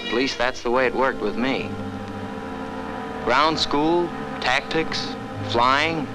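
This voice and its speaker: A man's voice: mid-range voice, slow, low-quality recording. A man speaking as a fighter pilot in scripted 1940s military training film narration, on an old film soundtrack. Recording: 1940s film soundtrack, loud music bed.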